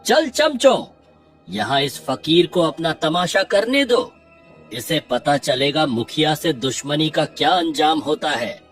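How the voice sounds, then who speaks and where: Cartoon villain, man as arrogant village headman, threatening, domineering, very slow delivery. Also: on the high side, animated, fairly bright tone, faint music behind. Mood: scornful, commanding.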